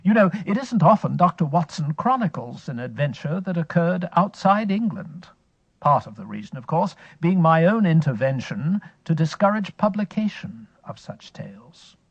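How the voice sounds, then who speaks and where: A wry, sedentary elder brother, amused and leisurely, with a lively, rising and falling delivery, fairly high for a man. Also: moderate pace, slightly rough, dry recording, lo-fi sound, full character voice. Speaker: series host in character. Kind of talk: scripted radio-style drama monologue.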